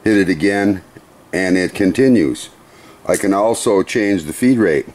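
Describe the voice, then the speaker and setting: Middle-aged male demonstrator, workshop, low pitch, slow methodical plain delivery. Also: lively intonation, a little mellow. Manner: unhurried, methodical, plain-spoken with small restarts. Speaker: CNC machine demonstrator. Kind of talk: hands-on equipment demonstration. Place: workshop beside a CNC router.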